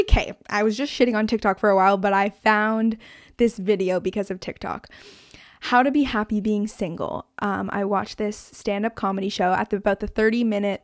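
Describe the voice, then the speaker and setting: Young woman rambling on her solo podcast, lots of ums and restarts, excitable. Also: medium pitch. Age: 20s. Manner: chatty, animated, filler-heavy, self-interrupting. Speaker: podcast host.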